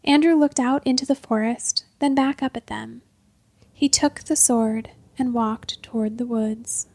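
Female narrator for fiction, slightly high with heavy creak, measured scripted read, very dry sound. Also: fairly even intonation, a little mellow, dry recording. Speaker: storyteller, narrator.

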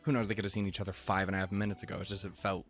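A man from Montana giving rambling, profane, jokey reaction commentary on an anime episode, with sudden goofy pitch jumps. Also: level tone, racing, fairly bright tone, faint music behind.

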